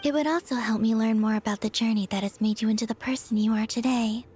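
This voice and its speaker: A woman voicing a gentle, wistful young moon goddess in a game cutscene, speaking softly and sincerely in a measured, breathy way. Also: on the high side, steady tone, slight background noise, lightly acted. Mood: warm, fond.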